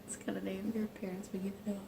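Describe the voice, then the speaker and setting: A woman's voice: medium pitch, level tone, quick pace, dark tone. A woman casually chatting over a picture book, making joking, bawdy, wry side remarks. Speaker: co-reader who comments on the story. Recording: clearly noisy home recording.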